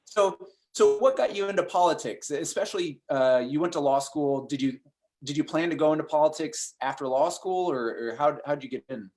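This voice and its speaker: Halting man hosting an interview. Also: fairly high pitch, darkish tone. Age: 40s. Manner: halting, many ums and restarts. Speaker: host.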